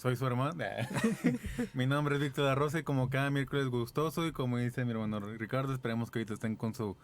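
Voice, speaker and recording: A man co-hosts a church program in a relaxed, joking way and laughs easily between his lines. A faint room ambience sits behind his voice. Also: mid-range voice, fast, slight background noise. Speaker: co-host of a parish program. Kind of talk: casual chat and prayer.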